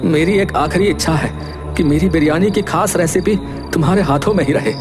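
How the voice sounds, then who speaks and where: Guy doing a kind old biryani seller dad, slow gentle cartoon voice, music in the back. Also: fairly high pitch, close to an everyday voice. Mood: weak. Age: older. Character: elderly kindly male biryani seller, father. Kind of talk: Hindi cartoon voice acting. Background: background music.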